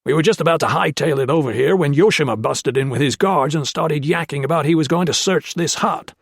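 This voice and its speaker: A blunt, shrewd officer barks orders and questions, as a male narrator puts him on. His voice is gravelly and husky, pitched above the narrator's own. The audiobook sound is close and dry. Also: fairly quick, dry recording. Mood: gruff, commanding.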